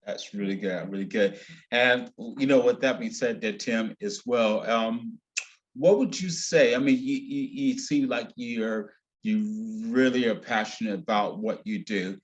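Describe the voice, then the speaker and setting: A man's voice: fairly low pitch, measured pace, lo-fi sound. A male interviewer asks long, winding questions and piles on praise for his guest. He speaks over a video call. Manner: effusive, repetitive questioning.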